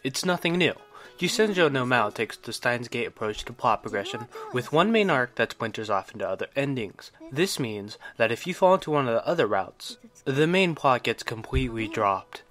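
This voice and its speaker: A man's voice: medium pitch, a bit bright. A man narrating a scripted video game review in an enthusiastic, opinionated way. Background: background music.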